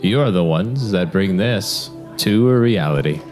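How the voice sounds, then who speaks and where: An actor playing a cheerful, self-satisfied city mayor in an audio drama, speaking like a pompous, folksy politician. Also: male voice, low voice, animated, a little slow. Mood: rousing oratory. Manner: pompous, oratorical, smooth politician. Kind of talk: scripted audio drama acting. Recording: loud music behind the voice.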